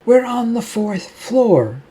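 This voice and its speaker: A narrator voicing an exasperated, higher-pitched woman. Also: sing-song, takes it slowly, mellow, husky, heavily acted, rough recording. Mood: incredulous. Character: exasperated, incredulous woman, Laura, sharp-tongued and doubtful.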